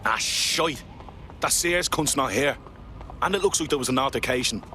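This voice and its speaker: An actor playing a gruff, foul-mouthed street operative with a British accent and blunt, colloquial talk in a video game. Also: male voice, mid-range voice, lively intonation, moderate pace, sharp tone, slight background noise. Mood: gruff, irritated.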